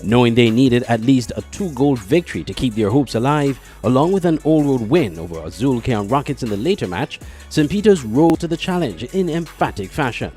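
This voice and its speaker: A man's voice: mid-range voice, lively intonation, fairly quick, mellow. A man reading a scripted sports news report as the presenter. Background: faint music.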